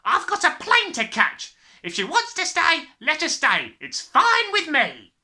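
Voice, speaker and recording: Uncaring storybook dad, loud, strained high pitch, forceful, impatient, brusque. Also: male voice, huge pitch swings, full character voice. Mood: impatient, dismissive. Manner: loud, hurried, dismissive.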